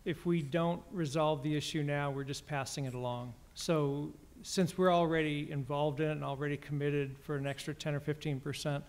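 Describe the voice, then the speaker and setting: A middle-aged man speaking on a conference panel, relaxed and good-humored, into a stand microphone. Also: a little high, moderate pace, few pauses. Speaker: patient panelist. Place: conference hall stage panel. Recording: long reverb of a conference hall.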